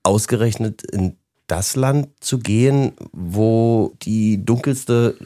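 A middle-aged man co-hosting a podcast, talking in a relaxed, colloquial way with dry jokes. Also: on the low side, takes it slowly. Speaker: podcast co-host. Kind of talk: casual podcast chat.

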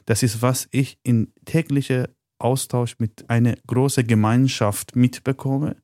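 A man speaking German as a second language, answering interview questions in a halting way with many pauses and fillers. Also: mid-range voice, fairly even intonation, warm tone, no echo, clean studio sound. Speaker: interview guest. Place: studio, in a room with the host.

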